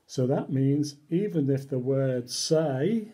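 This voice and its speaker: A man giving an animated, theatrical read-aloud of a comic children's story, full of exaggerated expression and mock-reluctant asides. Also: mid-range voice, slow.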